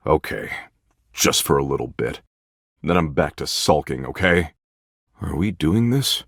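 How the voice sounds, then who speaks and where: A morose, sardonic man for a video game, with a very deep voice. He sulks and grumbles in deadpan, yet his pitch swings widely. The recording is dry and close. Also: unhurried, creaky, breathy edge, no echo, full character voice. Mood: sulky, grudging. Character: gloomy, sulky, sarcastic nihilist man, a living statue. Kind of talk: video game character acting.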